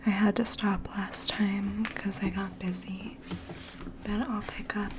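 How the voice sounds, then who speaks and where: A woman whispering in a gentle, breathy, slow ASMR style, as if reading poetry aloud and sharing quiet thoughts. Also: medium pitch, level tone, a little hiss or hum. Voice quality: breathy, mostly unvoiced whisper. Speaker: ASMR host and poetry reader.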